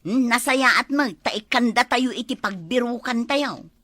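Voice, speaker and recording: A woman's voice: fairly high pitch, animated, a little slow, bright, forceful tone, exaggerated voice. A woman with an Ilocano accent playing an ambitious, touchy village wife in a comedy drama. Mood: eager.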